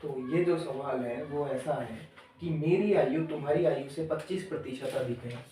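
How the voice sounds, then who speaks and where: A man's voice: on the high side, normal speed, mellow, slight background noise. A male maths teacher explaining a lesson step by step, repeating each step and asking the children questions. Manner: addresses the pupils as children. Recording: echoey room, voice far from the mic.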